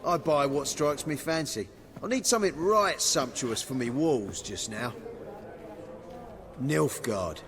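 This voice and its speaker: Lively, cocky gambler. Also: male voice, medium pitch, takes it slowly, crowd noise. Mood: smug. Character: cocky, common newly rich gambler man. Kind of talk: video game voice acting.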